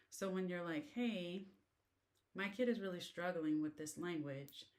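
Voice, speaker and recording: Chatty woman with a lowish voice. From United States. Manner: chatty, rambling, many fillers. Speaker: vlogger on living abroad. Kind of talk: casual talk to camera. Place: in a car.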